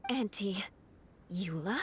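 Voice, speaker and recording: A woman's voice: mid-range voice, expressive, a little hiss or hum. Aristocratic female game knight: mid-range, coolly proud, composed, formal delivery. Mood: taken aback. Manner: haughty, measured, formal wording.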